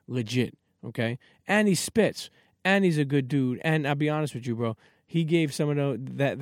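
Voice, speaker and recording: Energetic New York host. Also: male voice, mid-range voice, dry recording, clean studio sound. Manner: energetic, repeats "okay". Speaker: podcast host. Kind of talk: casual interview.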